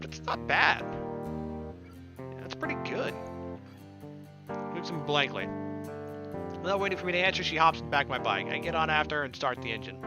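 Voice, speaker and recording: A young man doing casual gameplay commentary and reading visual novel text aloud. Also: a little high. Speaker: let's player. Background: game music.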